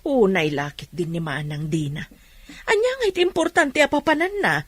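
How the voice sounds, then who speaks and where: Husky, expressive patient mother. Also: on the low side, moderate pace. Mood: concerned. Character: patient, hardworking, encouraging poor mother of students. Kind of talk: Ilocano radio drama acting.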